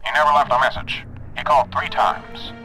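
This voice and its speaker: A man's voice: mid-range voice, fairly quick, music in the background, close to an everyday voice, rough recording. A man playing an earnest police sergeant in a radio drama, businesslike and urgent.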